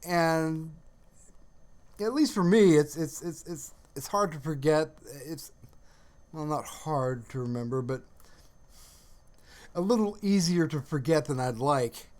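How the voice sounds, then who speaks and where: A man chatting casually on a livestream in a halting, rambling way, often searching for words. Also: on the high side, a little mellow. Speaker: live streamer.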